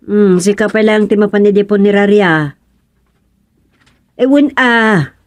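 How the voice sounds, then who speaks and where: A woman's voice: mid-range voice, measured pace, no room sound, lightly acted. A woman with an Ilocano accent playing an obliging village neighbour in a radio comedy drama. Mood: helpful, matter-of-fact. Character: helpful, cooperative village woman in a community clean-up.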